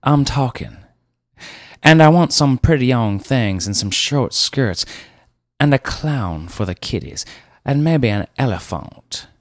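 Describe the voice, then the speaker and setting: Guy doing a boorish big car dealer, barking orders, slow drawl, story reading. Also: mid-range voice, animated, dry recording, studio quality, pitched above the performer's own voice. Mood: bossy. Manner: loud, bossy, drawling. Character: boorish overbearing heavyset male car dealer.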